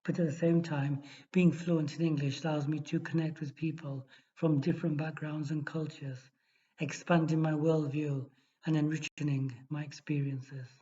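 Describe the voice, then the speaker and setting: Male exam tutor, measured read-aloud delivery, warm mellow tone, dull recording. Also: fairly high pitch. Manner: steady, measured reading with small restarts. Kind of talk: reading a model essay aloud.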